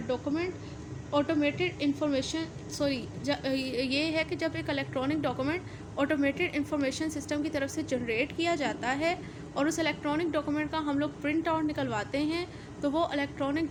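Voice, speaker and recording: A woman's voice: high voice, background noise. A woman with a Pakistani accent giving an explanatory law lecture, mixing in English terms and rephrasing each point step by step.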